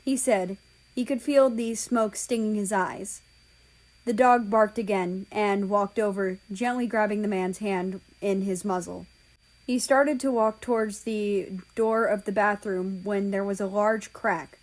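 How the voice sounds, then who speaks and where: A woman narrates a story as an amateur reader. Her pitch sits in the middle for a female voice, and she takes the scripted text at a slow pace. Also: steady tone, low-quality recording.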